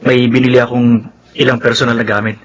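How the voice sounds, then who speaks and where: An actor playing a dutiful, respectful young grown son in a Filipino radio drama, with a Filipino accent. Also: male voice, mid-range voice, flat delivery, brisk, lo-fi sound, natural voice. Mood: polite, reluctant. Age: young adult.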